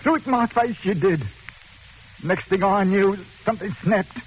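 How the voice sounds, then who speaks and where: Actor as a high-voiced, coarse little jailbird. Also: male voice, moderate pace, natural voice. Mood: self-pitying, confessional. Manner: colloquial, wheedling, coughing between phrases. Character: sallow, coarse little prisoner, chatty and bitterly self-pitying. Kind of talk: 1940s radio acting. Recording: dull, hissy old radio recording.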